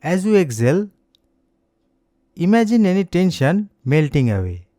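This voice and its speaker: Mellow, close-miked man guiding meditation. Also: sits high, big pitch swings, no echo. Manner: gentle, soothing, unhurried instructions. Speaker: meditation instructor. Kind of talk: slow guided meditation, scripted narration.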